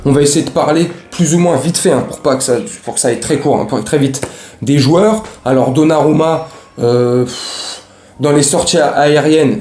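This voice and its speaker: A man's voice: mid-range voice, a little mellow. A man giving a casual, slangy football recap as host, talking straight to his viewers. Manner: slangy, chatty, addresses viewers directly. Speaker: host of a football fan show. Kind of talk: casual match recap to camera. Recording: roomy, echoey room sound.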